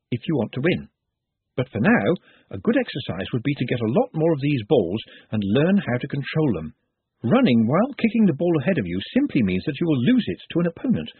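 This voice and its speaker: A man's voice: mid-range voice, breathy edge, no room sound, narrow, tinny audio, exaggerated voice. Man doing a posh, earnest little servant. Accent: English. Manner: precise, elaborate, polite phrasing. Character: small shy oddly formal male servant.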